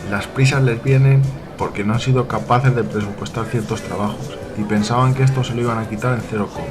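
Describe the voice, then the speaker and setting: A man's voice: mid-range voice, steady tone, a little fast, natural voice. A man playing a critical, matter-of-fact archaeology pupil explaining in a scripted radio soap. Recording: music behind the voice.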